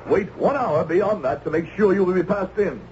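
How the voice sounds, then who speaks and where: A man's voice: high-pitched, normal speed, a little mellow, lightly acted. An actor playing a solemn, courteous colonial horseman, speaking in formal archaic phrases in a radio drama. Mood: earnest, resolute. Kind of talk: old-time radio acting. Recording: dull, noisy old radio recording.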